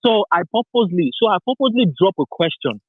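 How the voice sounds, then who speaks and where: A man's voice: sits high, sing-song, quick pace. Young man calling in over a tinny phone. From Nigeria. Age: 30s. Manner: rambling, many restarts, laughs often. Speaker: caller. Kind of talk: phone call to a talk show. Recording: tinny phone line, dry.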